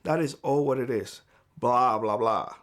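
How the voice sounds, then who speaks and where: A man speaking straight to camera in a vlog, giving blunt, lecturing advice in a hoarse voice. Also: medium pitch, unhurried, fairly bright tone. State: hoarse, losing his voice. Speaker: prepper YouTuber. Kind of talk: direct-to-camera vlog monologue. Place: homestead.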